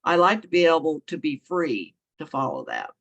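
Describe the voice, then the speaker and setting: A woman author talking casually in an interview, her voice hoarse and froggy from a cold, rambling and correcting herself as she goes. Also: deep voice, wildly swooping pitch, normal speed, highs cut off. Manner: rambling, self-correcting, chuckling often. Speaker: guest author.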